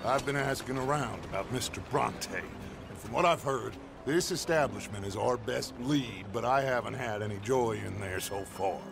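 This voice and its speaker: An actor playing a wry older gentleman outlaw who speaks in a dry, theatrical way. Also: medium pitch, moderate pace, vocal fry, over music, close to an everyday voice.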